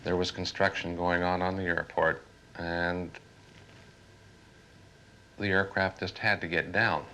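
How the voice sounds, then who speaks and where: Deep-voiced man, bright and forceful. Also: level tone, a little slow. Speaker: air traffic controller. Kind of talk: interview, recounting work experience. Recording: faint noise, 1970s archive TV film.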